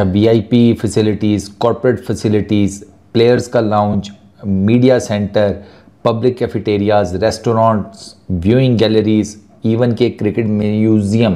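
A man's voice: on the low side, mellow. A man, hosting a chatty sports news video on a lapel mic, joking and mixing in English words. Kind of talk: casual talking-head sports commentary. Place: small furnished studio set indoors.

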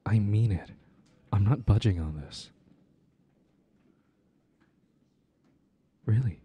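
A man playing a worried, caring ex-boyfriend in an intimate audio roleplay, soft-spoken and hesitant, gently insistent, with halting pauses. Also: on the low side, breathy edge. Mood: firm, caring. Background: ambient sound effects.

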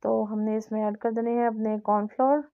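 A woman's voice: a little high, little pitch movement, a little fast. A woman narrating a home recipe as host, explaining step by step and repeating her instructions. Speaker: home cooking channel host. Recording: dull, lo-fi home recording.

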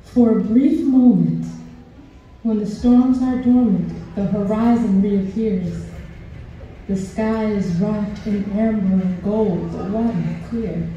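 Slow, dark-toned woman reading poetry. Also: medium pitch, slight background noise. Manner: slow, measured, reading from a book at a mic stand. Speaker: poet. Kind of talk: spoken word poetry reading. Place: small performance venue stage, indoors. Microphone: stand mic, filmed from the audience. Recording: echoey, distant, dull venue recording.

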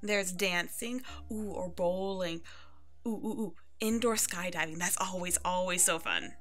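A woman's voice: on the low side, a little slow, fairly bright tone. A woman playing a chatty, caring, playful hairstylist who comforts a client in an ASMR roleplay. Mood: playful, upbeat. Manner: chatty, bubbly, reassuring. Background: background music.

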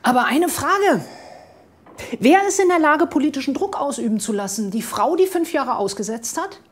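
A woman's voice: sits high, animated, moderate pace. A woman playing a dry, probing police detective in a crime drama, with a wry, pointed and sardonic way of talking. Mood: pointed, earnest. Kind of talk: naturalistic audio drama dialogue.

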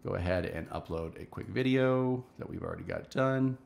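A man's voice: lowish voice, animated, moderate pace, gravelly, a little mellow. A man in his thirties hosting a casual screen-share marketing tutorial, talking step by step in an instructional way from a small home office.

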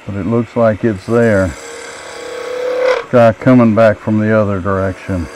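A middle-aged man with a calm, plain-spoken manner, teaching woodturning step by step in his home workshop. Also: on the low side, measured pace. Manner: calm, plain-spoken, thinks aloud while working. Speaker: woodturning instructor. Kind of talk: relaxed step-by-step workshop narration. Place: home woodworking shop with a running lathe. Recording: workshop with clear lathe and tool noise.

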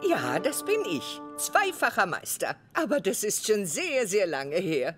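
A woman voicing a kindly older grandmother, gentle and expressive, in a German cartoon dub. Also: mid-range voice, measured pace, slightly rough, faint music behind. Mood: nostalgic. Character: kindly grandmother, former champion haunted by old failure.

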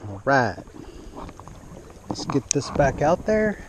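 Man vlogging outdoors, clear background noise. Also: mid-range voice, sing-song, slow. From central California. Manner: informal, chatty, talks to the fish. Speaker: fishing vlogger. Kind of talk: casual narration to camera while fishing. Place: outdoors on a lake shore. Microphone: action camera worn on the body. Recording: clearly noisy outdoor action-camera audio, wind.

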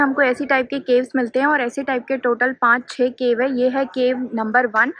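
A young woman filming a travel vlog outdoors on her phone, chatting upbeat as she explains each stop like a tour guide. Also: high voice. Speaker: travel vlogger. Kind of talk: casual travel guide narration. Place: outdoors on a ferry and a busy island path. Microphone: phone camera held at arm's length. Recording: dull lo-fi phone audio with a crowd behind.

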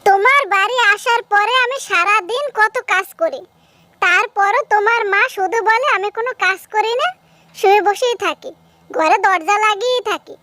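A woman's voice: extremely high pitch, moderate pace, bright, forceful tone. A woman voicing an aggrieved, defiant young daughter-in-law in a cartoon, complaining and answering back. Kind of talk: cartoon dub acting.

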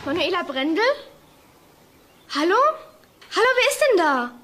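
An actress playing an excitable young schoolgirl in a German children's drama. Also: very high voice, unhurried, full character voice. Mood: puzzled.

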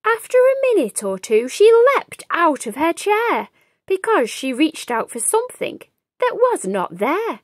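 A woman reads a children's story in a high voice with sweeping, animated intonation. She takes her time over the phrases, and the sound is dry and close. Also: dry recording. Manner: animated, deliberate storytelling delivery. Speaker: children's storybook reader and host. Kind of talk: expressive read-aloud storytelling.

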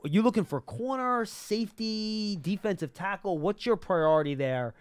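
A man's voice: high-pitched, lively intonation, normal speed, smooth, dry recording. A man hosting casual sports talk, speaking emphatically and repeating himself for stress. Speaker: sports talk show host. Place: small podcast studio. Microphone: close broadcast mic on boom arm.

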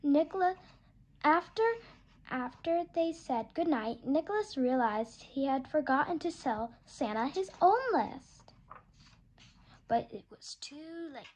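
A woman reading a children's picture book aloud, gentle and expressive, slowing down for the story and putting on small voices for the animals. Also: high-pitched, slight background noise. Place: indoors at a table, turning a picture book.

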